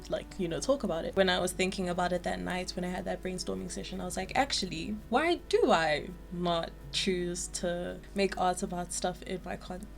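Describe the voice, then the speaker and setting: A young woman from South Africa chatting casually about her art, with lots of filler words and lively asides. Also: fairly low pitch, gravelly. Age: young adult. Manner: chatty, animated, frequent "like" fillers. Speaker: art vlogger. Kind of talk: casual chat.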